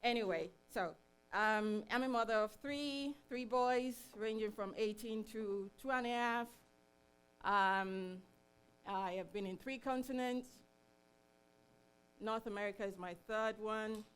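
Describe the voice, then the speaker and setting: A middle-aged woman presents informally to a live room. Her pitch is average, and she comes across as chatty and a little self-deprecating. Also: unhurried, clean tone, low-quality recording. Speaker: meetup presenter. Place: meetup room, live in front of an audience.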